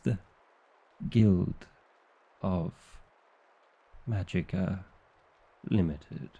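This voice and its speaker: Vampire librarian for ASMR roleplay, played by a man: exasperated and bookish, unhurried delivery with big melodic swings, wry theatrical indignation. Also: low voice, creaky, dark tone, heavily acted.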